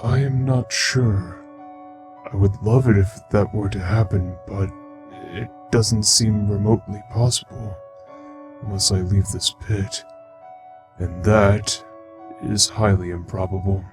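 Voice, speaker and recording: A man playing a proud, sorrowful skeleton scientist and father, speaking in a measured, wistful way in a dramatic comic dub. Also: on the low side, fairly even intonation, music in the background, natural voice. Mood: sad, wistful.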